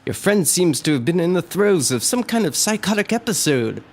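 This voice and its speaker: High-voiced earnest country doctor, acted by a man. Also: very animated, moderate pace, exaggerated voice. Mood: grave, aghast. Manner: earnest, dramatic. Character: grave, alarmed small-town male doctor, older family physician. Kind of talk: radio horror drama acting. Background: faint music.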